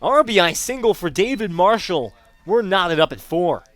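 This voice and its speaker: A man's voice: sits high, very animated, normal speed, a bit bright, no room sound, faint music behind. A man calling live baseball play-by-play as the announcer of a sports broadcast.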